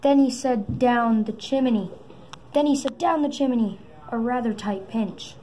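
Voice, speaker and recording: A woman reading a children's story aloud in halting phrases, sometimes repeating words. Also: a little high, dark tone. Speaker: storybook reader. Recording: noisy amateur recording.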